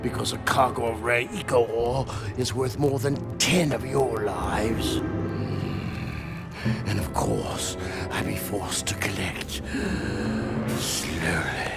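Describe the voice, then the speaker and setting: An actor playing a big, greedy, menacing crime boss in a video game, with a smug drawl. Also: male voice, fairly low pitch, lively intonation, takes it slowly, gravelly, over loud music, full character voice. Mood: smugly menacing. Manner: drawling, smug, ends phrases with "eh". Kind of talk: video game cutscene dialogue.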